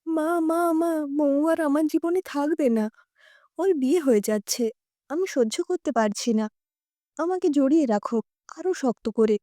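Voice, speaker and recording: Audio story lead: woman playing anguished young man, pleading, high for a male, very soft tone. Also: unhurried, no echo, studio quality. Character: heartbroken, despairing young man, a jobless student.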